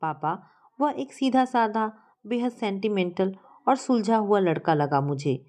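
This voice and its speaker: Woman voicing a sincere young daughter, mellow. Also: medium pitch, lively intonation, unhurried, natural voice. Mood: sincere, assured. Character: young woman sincerely vouching for her suitor.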